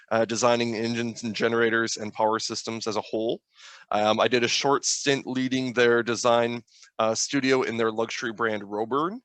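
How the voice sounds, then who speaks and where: Man, thirties, medium pitch, informal webinar panelist, dry recording. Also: fairly bright tone, no echo. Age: 30s. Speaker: graduate student panelist.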